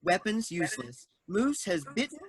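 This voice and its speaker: A woman's voice: fairly low pitch, sing-song, moderate pace, slightly rough, no echo, clean studio sound. A woman playing a polite, formal automated building computer making status announcements in a comedic audio drama. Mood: impassive, formal. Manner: formal, pre-programmed politeness.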